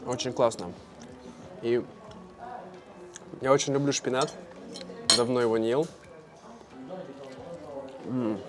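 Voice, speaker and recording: A man from Russia vlogging casually to camera, speaking simply and clearly for language learners with easy fillers. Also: mid-range voice, steady tone, takes it slowly, long pauses, over music. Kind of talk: casual vlog talk to camera.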